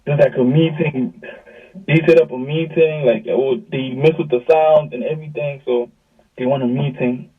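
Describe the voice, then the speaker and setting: A young man with a South African accent tells stories in loose, slangy talk. His voice is husky, and it comes through thin and phone-like, a bit far from the mic, as on a video call. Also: medium pitch, moderate pace. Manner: slangy, animated retelling with quoted dialogue. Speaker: music artist, interview guest. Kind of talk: casual storytelling chat.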